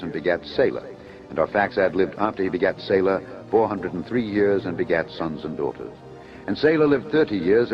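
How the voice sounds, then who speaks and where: A man narrating scripture in a solemn, measured, formal declamatory style, as for an audio Bible. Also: low voice. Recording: dull lo-fi audio under music. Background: music.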